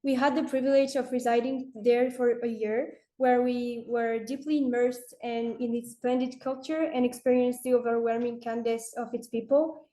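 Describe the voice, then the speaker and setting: Measured teenage French girl presenting. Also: a little high, steady tone, warm tone. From France. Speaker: student presenter. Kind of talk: reading a prepared presentation. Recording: roomy echo.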